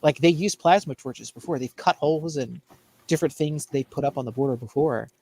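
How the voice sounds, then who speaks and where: A man's voice: a little high, fairly quick, a little mellow, breathy edge. An American man on a talk show panel, giving opinionated political chat in a blunt, exasperated, ranting manner.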